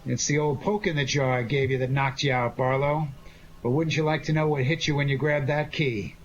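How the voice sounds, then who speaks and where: A man's voice: mid-range voice, fairly even intonation, brisk, close to an everyday voice. A man playing an unflappable, sardonic amateur sleuth who trades slangy wisecracks in a 1940s-style radio detective drama. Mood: smug, teasing. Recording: dull lo-fi audio with faint noise.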